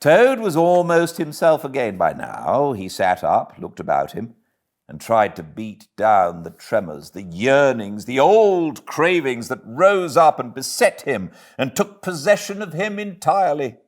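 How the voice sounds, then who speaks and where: A man's voice: mid-range voice, very animated, measured pace. A man with a British accent reading a classic story aloud as an audiobook narrator, dramatic and theatrical. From Britain.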